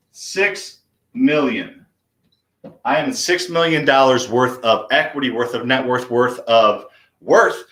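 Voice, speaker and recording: A man's voice: mid-range voice, fairly bright tone. An American man in his thirties hosting a casual live lesson, explaining to viewers, repeating key phrases and checking in with his audience. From Missouri, US. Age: 30s. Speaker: host, real estate investing coach. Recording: echoey, roomy live-stream sound.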